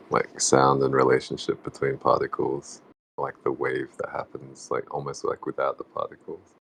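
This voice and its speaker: Super deep flat-toned guy, halting, thinking out loud in a casual group talk. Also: gravelly, low-quality recording. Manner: tentative, halting, musing. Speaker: book club discussion participant. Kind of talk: casual reflective discussion.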